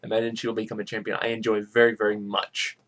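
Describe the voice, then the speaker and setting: A man's voice: lowish voice, monotone, very fast, fairly bright tone. A man co-hosting a gaming podcast in casual chat, blunt and joking with dismissive asides. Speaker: podcast co-host.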